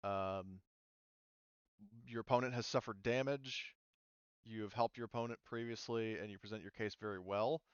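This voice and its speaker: A man's voice: lowish voice, fairly even intonation, moderate pace, smooth, no room sound, muffled recording. A man speaking casually as a game master, explaining rules and thinking aloud in a hesitant, informal way.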